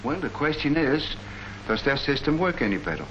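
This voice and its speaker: An actor playing an earnest army colonel who presents public health facts in a declamatory, rhetorical way, in the style of a 1940s education film. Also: male voice, mid-range voice, sing-song, normal speed, a bit bright. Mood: earnest, explanatory. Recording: muffled, noisy 1940s film soundtrack.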